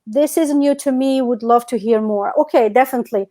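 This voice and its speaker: A woman's voice: on the high side, fairly quick, warm tone. A woman from North Africa whose first language is Berber, chatting as a guest on a video call. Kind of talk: casual interview over a video call.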